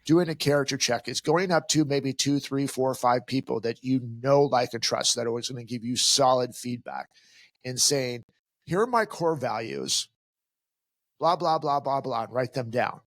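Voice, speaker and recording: Podcast co-host, middle-aged man, mid-pitched, emphatic, slips into quoted voices, close dry sound. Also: normal speed, no echo. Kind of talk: casual advice chat.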